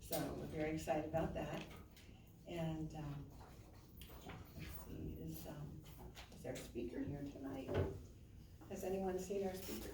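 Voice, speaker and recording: Low-voiced woman in an echoey hall. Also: measured pace, vocal fry, long pauses. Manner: casual, upbeat, many fillers. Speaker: meeting host. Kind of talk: community meeting chat. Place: community center meeting hall. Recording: echoey hall, far from the mic, background noise.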